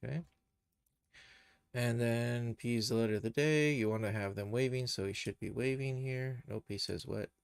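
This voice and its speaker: A man giving a casual live software tutorial, thinking aloud and muttering to himself as he works. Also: medium pitch, normal speed, creaky, clear, resonant tone, dry recording, clean studio sound. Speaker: streamer, animation tutor.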